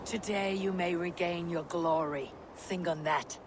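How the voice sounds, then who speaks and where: High-voiced, blunt Viking warrior. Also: male voice, fairly even intonation, unhurried, noisy background. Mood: resolute. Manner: terse, direct, commanding. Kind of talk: dramatic video game cutscene acting.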